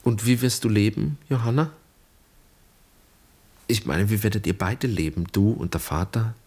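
An actor playing a serious, searching son and brother in a German radio drama, earnest, polite and probing. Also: male voice, on the low side, moderate pace, a little mellow, husky. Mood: earnest, concerned.

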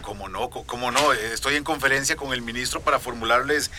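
A man's voice: medium pitch, fairly quick, pushed and bright, a little hiss or hum. An actor playing an evasive, flustered town mayor in a radio drama, stammering as he stalls.

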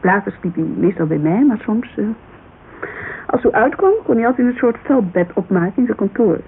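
An actress plays a candid, slightly wistful Dutch woman in her thirties for a radio play. She talks colloquially and hesitantly, with a creaky edge to her voice. The recording is thin and narrow, with noticeable hiss. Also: medium pitch, lively intonation, fairly quick, lightly acted. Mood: guarded, coy. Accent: Dutch, Netherlands. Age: 30s. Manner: hesitant, colloquial, searching for words. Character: thirty-three-year-old Amsterdam model agency owner, guarded, wistful, candid. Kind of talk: naturalistic radio drama dialogue.

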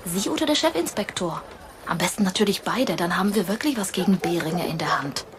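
A woman's voice: on the low side, animated, a little fast, slight background noise, lightly acted. A woman playing a polite, coolly ruthless media executive in a radio drama, formal and clipped. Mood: cold, courteous.

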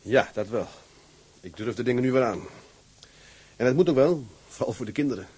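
A man's voice: medium pitch, brisk, a little mellow, husky, close to an everyday voice. An actor playing a weary, earnest, fatherly merchant sea captain in a Dutch radio drama. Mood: subdued, earnest.

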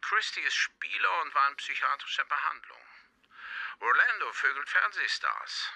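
A man's voice: a little high, expressive, normal speed, gravelly, sharp tone, exaggerated voice, poor audio. A man playing a wry, streetwise police insider with a terse, dry delivery in a German radio drama. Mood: brisk, teasing.

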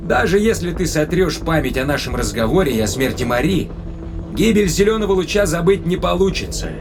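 A man's voice: on the high side, animated, fairly quick, gravelly. A man playing a skeptical, wary space traveler in an audio drama, dryly sardonic and questioning, with music behind him. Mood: skeptical, insistent.

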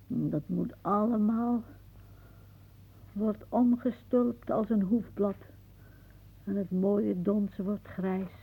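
A woman's voice: mid-range voice, fairly even intonation, takes it slowly, gravelly, long pauses, husky, full character voice. An actress playing a wry, philosophising older woman in a radio play, musing drily and easily irritated. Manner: musing, dryly aphoristic. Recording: muffled, phone-like old radio recording.

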